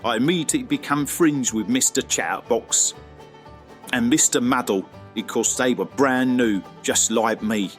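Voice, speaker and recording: A man's voice: medium pitch, normal speed, soft background music, natural voice. A man playing a big, cheerful, forgetful cartoon character who rambles vaguely in a mock documentary interview for an audio drama. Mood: cheerfully vague.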